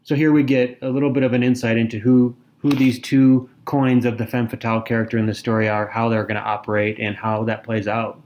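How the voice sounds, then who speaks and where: A man's voice: medium pitch, flat delivery, brisk, hardly any pauses, a little mellow, dull audio. Articulate man analyzing a novel. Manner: articulate, analytical, with occasional hesitations. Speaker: podcast co-host. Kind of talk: casual book discussion.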